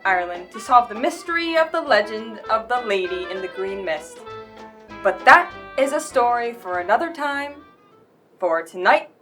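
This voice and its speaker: A woman's voice: a little high, a bit bright. A woman playing an old-time radio announcer, narrating theatrically in a 1940s style.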